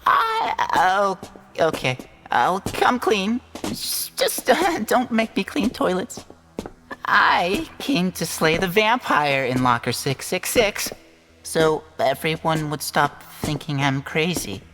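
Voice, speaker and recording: A woman's voice: lowish voice, big pitch swings, takes it slowly, fairly bright tone, heavily acted. A woman playing an awkward, earnest teenage boy in an audio drama, hesitant and sheepish.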